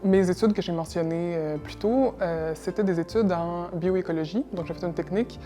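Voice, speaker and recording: Low-voiced young woman, hesitant answers. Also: normal speed, mellow, faint music behind. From Russia. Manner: hesitant, many fillers and repeated words. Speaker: interview guest, environmental technician. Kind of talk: casual interview answers.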